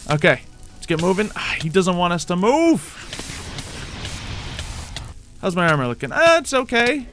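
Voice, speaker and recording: A man's voice: high-pitched, very animated, normal speed, music in the background. A man commentating on his gameplay in character, theatrical and mock-boastful, muttering to himself and repeating his phrases. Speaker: gaming video host.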